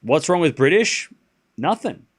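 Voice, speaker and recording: A man with a light Australian accent calling tennis on a live stream, getting excited on rallies and muttering between points while answering chat. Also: fairly high pitch, a little slow, slightly rough. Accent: Australian, softened. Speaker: streamer commentator. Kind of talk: live play-by-play commentary with chat.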